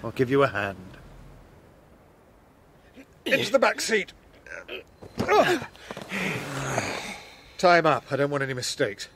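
A ruthless mastermind in a radio play, his voice fairly high and ranging widely as he sneers and commands. Outdoor car noise runs clearly behind him. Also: wildly swooping pitch, slow, long pauses, fairly bright tone, exaggerated voice. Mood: brisk, cold. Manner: commanding, sardonic. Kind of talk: radio drama acting. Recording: noisy, outdoor car ambience.

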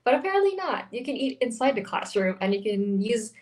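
A woman's voice: on the high side, big pitch swings, fairly quick, darkish tone. A young woman from the Philippines with an American accent, chatting as an interview guest over a video call, laughing often between fillers. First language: Tagalog. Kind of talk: casual interview. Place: small indoor room, video call. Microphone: desk microphone on video call.

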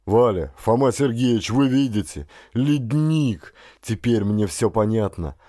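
A man voicing an excitable middle-aged explorer father and scientist in an audiobook, exclaiming with rapturous emphasis. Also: medium pitch, unhurried, no echo, clean studio sound, pitched above the performer's own voice, close to an everyday voice. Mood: elated, excited. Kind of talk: audiobook character acting.

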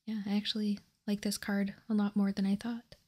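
A woman whispering softly and breathily in an ASMR style, calm and unhurried, as she hosts a card walkthrough. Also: mid-range voice. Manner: calm, unhurried, reading out and commenting. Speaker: host of a card game ASMR channel.

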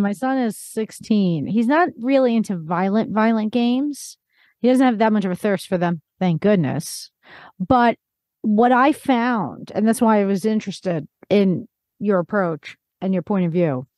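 Higher-pitched middle-aged woman, casual podcast host. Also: darkish tone, no echo. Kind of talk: casual interview chat.

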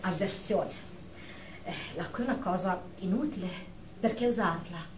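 A woman's voice: fairly low pitch, moderate pace, lightly acted. A woman acting a defensive, obsessive, germ-phobic nurse of forty-five in a radio drama, hesitant and repetitive. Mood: insistent, defensive. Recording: distant roomy recording with faint noise.

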